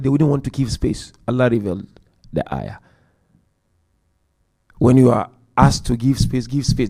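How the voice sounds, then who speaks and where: A man giving a Muharram religious lecture, counting off numbered points, chanting Quran verses quickly, and swinging between loud emphasis and quiet asides. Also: medium pitch, vocal fry, slightly rough. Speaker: Muharram majlis speaker.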